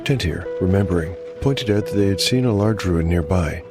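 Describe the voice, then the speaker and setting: A man narrating a solo tabletop fantasy game as game master, in a measured, reflective, scripted manner. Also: low-pitched, over music.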